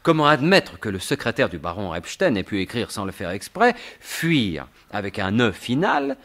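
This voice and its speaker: An actor playing a wry, self-mocking gentleman burglar in a theatrical, colloquial 1950s French radio drama style. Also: fairly low pitch, huge pitch swings, normal speed, heavily acted. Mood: smug, amused. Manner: theatrical, talks to himself, colloquial.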